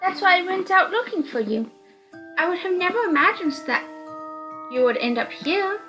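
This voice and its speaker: Woman voicing a high, sweet, eerie young girl. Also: animated, normal speed, pushed and bright, faint music behind, exaggerated voice, rough recording. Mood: sweet, earnest. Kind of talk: visual novel character reading.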